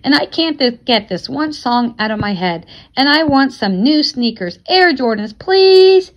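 A woman's voice: sits high, expressive, a little slow. A woman voicing an eager young boy with a chatty, pleading manner in a storybook read-aloud.

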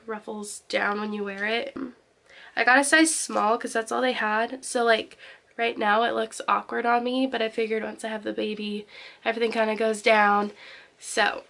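A woman's voice: on the high side, a bit bright. A young woman in her twenties with a cold and a slightly nasal voice, chatting casually to camera as a vlogger with lots of ums and likes. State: sick, nasal. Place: parked car, then walk-in closet. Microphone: handheld camera close up.

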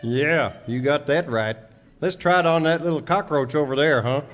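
A man acts out a trigger-happy cowboy for radio comedy. His voice is somewhat high, and he gives short, bossy commands. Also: moderate pace, natural voice. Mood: cocky. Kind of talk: comic Western radio sketch.

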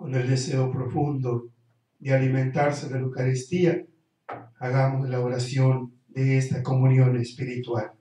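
A middle-aged man, a priest celebrating mass at the altar of a small church chapel, reciting the prayers in a solemn, measured way, recorded by a camera at a distance. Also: medium pitch, flat delivery, mellow, very echoey. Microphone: far camera.

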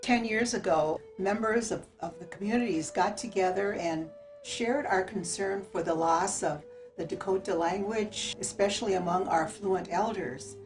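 A woman clearly and steadily explaining an organization's mission. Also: mid-range voice, moderate pace, soft background music, poor audio. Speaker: organization spokesperson. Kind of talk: explanatory narration.

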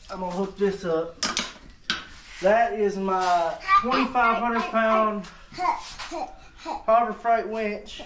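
A man's voice: high voice, noisy background. A man casually filming an unboxing and test in his workshop, talking in a rambling, offhand way with lots of fillers. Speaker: video host. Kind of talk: casual unboxing and testing vlog. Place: workshop, by a truck.

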